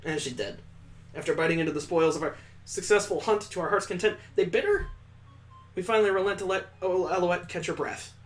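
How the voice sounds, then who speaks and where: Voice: mid-range voice, fairly quick. A let's-play host reading a visual novel aloud in a dramatic narrating style, breaking off into wry, incredulous, casual asides.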